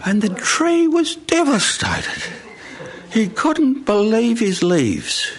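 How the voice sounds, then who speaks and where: A man's voice: high-pitched, huge pitch swings, slow pace, crowd noise. A man telling stories and hosting informally in front of a live studio audience. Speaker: storyteller and live show host. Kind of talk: live storytelling and informal announcements. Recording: live radio studio, background noise.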